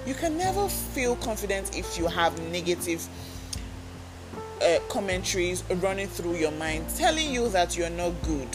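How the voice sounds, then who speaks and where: A woman's voice: on the low side, poor audio. Earnest woman coaching over music. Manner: earnest, coaching, asks listeners direct questions. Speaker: podcast host. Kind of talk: self-help podcast talk. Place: talking alone into a microphone.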